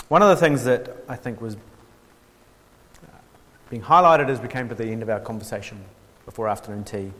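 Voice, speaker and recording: A man gives a relaxed workshop talk at a middle pitch. He pauses often with ums and restarts, and adds a dry, self-mocking humour. His voice carries a long hall reverb. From New Zealand. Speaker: workshop speaker on theology. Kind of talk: informal lecture.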